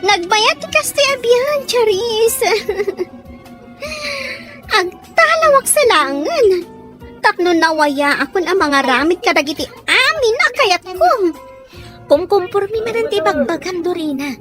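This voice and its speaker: A woman's voice: extremely high pitch, lively intonation, unhurried, music in the background. A woman acting in an amateur audio drama as a defiant, hard-partying young daughter, whiny and stubborn. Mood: carefree, tipsy.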